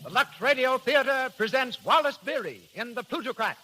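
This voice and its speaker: A high-pitched man works as a 1930s radio announcer, polished and declamatory in his delivery. Music plays clearly behind him on the old broadcast recording. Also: animated, moderate pace, rough recording.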